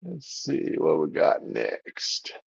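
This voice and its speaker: A man hosts a music livestream, his voice heavily gravelly with deep vocal fry. He rambles casually, stopping and restarting often. The sound is dry and dull, like lo-fi stream audio. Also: wildly swooping pitch, takes it slowly, dry recording. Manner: rambling, with many fillers and restarts. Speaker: livestream show host.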